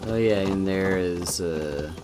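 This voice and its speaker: A man streaming a video game, chatting casually in a relaxed, soft-spoken and musing way as he plays. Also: low-pitched, slow, noisy background. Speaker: VTuber streamer. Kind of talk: casual gameplay commentary. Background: game music.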